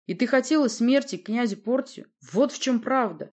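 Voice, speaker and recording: A woman's voice: a little high, expressive, moderate pace, a little mellow, pitched above the performer's own voice. A woman voicing a bewildered, blunt male adventurer in a story, with emphatic delivery. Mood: accusing. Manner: astonished, emphatic.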